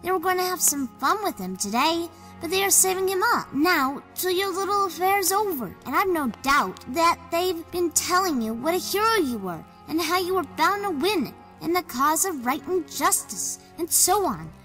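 Woman performing a plucky young village boy, audiobook drama, extremely high pitch, outspoken, earnest, long reverb, faint music. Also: animated, moderate pace, few pauses, bright, forceful tone, heavily acted. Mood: scornful, insistent. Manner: earnest, chatty, old-fashioned boyish phrasing. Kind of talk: amateur audiobook drama acting.

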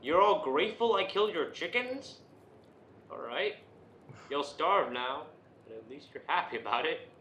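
A man's voice: a little high, animated, moderate pace, bright, forceful tone. Male gamer, casual and jokey, mock-talks to game characters. Echoey room, audible background noise. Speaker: video game player. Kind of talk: casual co-op gameplay chat. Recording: roomy echo, background noise.

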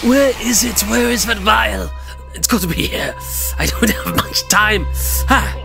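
A man playing a sickly, desperate invisible hunter losing his mind in an audio drama, halting and fraught. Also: high-pitched, gravelly, over music. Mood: frantic, muttering. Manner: halting, fraught, fragmented delivery.

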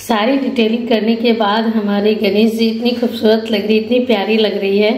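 A woman giving an instructional craft voice-over as an artist, explaining simply step by step with pauses. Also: on the high side, flat delivery, music in the background. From India. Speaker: rangoli artist giving a demonstration. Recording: echoey room, faint background noise.

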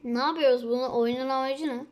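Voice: high voice, steady tone, fast. A child chatting casually as a co-player during a video game. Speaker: gamer, co-player. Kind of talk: casual chat during gameplay.

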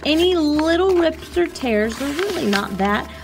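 A woman art teacher giving a slow, patient, step-by-step lesson to children over a video of her hands at work. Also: high-pitched, animated, noisy background.

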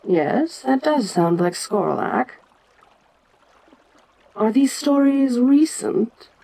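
A woman's voice: sits high, expressive, very slow, gravelly, dark tone, exaggerated voice, rough recording. A woman playing an ancient giant kaiju queen in an audio roleplay, proud and curious, with a domineering, stately manner. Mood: curious, commanding. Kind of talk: dramatic audio roleplay addressed to the listener.

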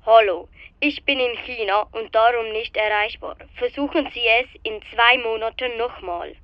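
A man's voice: very high-pitched, normal speed, clear, resonant tone. Guy doing an answering machine message, muffled phone sound, radio play. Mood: matter-of-fact. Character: absent man's answering machine greeting. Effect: telephone filter. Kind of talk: recorded voicemail greeting. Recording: dull telephone-filtered voicemail.

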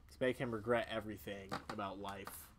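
A man voicing an excitable, reckless young male fox in a video game, loud and exclamatory. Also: lowish voice, a little fast, noisy background, close to an everyday voice. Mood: excited. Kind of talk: amateur video game voice-over.

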